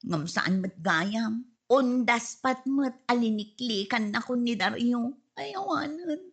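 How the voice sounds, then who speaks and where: A woman's voice: fairly high pitch, expressive, slow, husky, exaggerated voice. A woman playing a lovelorn young woman in an Ilocano comedy radio drama, with plaintive, exaggerated delivery and an Ilocano accent. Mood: regretful. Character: lovelorn young woman hoping to marry her online sweetheart.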